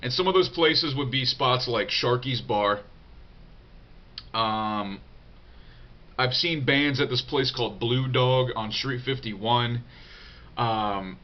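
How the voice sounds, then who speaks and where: A young man talks through a head cold, his voice stuffy and nasal, at an ordinary middle pitch. He answers viewer questions in a loose, profane way, full of fillers and restarts. The recording sounds dull and a little lo-fi. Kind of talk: casual unscripted vlog talk.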